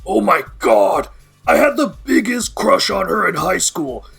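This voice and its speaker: A dramatic, nosy young guy from an audio drama, voiced by a man. His high voice is very raspy and swoops around excitably, theatrical and full of disbelief. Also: measured pace, faint music behind, heavily acted. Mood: shocked, incredulous. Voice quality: gravelly, raspy. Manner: incredulous, theatrical. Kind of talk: amateur audio drama acting.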